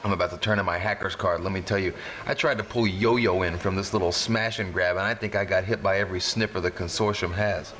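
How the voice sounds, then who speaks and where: An actor playing a wry, beleaguered resistance hacker in a video game cutscene, complaining in slang. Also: male voice, sits low, fairly even intonation, fast, a bit bright. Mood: exasperated, wry. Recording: noisy game cutscene audio.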